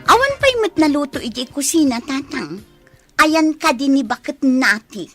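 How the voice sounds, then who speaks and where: A woman's voice: high-pitched, very animated, moderate pace, a bit bright, faint music behind. A woman playing a demanding young daughter in a radio drama, whining and questioning. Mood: complaining. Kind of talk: Ilocano radio drama acting.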